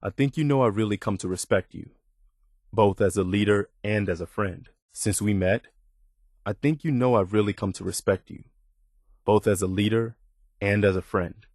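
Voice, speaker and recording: A loyal, duty-bound militia officer in a video game. His voice sits fairly low and sounds close and dry, with no room around it. He talks plainly and sincerely, humble and a little halting. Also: darkish tone, dry recording. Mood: warm, sincere. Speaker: game character. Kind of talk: video game dialogue acting.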